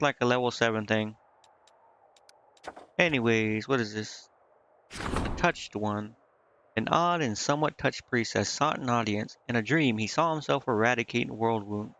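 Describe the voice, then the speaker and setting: A man's voice: mid-range voice, a bit bright, lo-fi sound. A man doing casual live gameplay commentary, thinking aloud indecisively and reading game text out. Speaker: game streamer. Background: game music.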